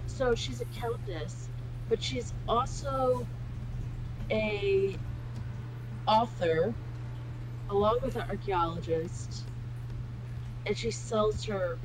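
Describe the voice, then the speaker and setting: A woman's voice: mid-range voice, expressive, slow pace, long pauses, noisy background. A woman co-hosting a podcast, chatting casually about a film as it plays. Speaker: podcast co-host. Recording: podcast with film soundtrack behind. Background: film audio and music.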